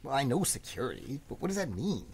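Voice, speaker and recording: A woman voicing a glib, defensive younger brother who deals in antiques, husky, in a radio play. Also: a bass voice, sing-song, quick pace, a little mellow, exaggerated voice. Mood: prodding. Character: cocky, defensive kid brother, shady antiques dealer. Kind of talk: live radio play acting.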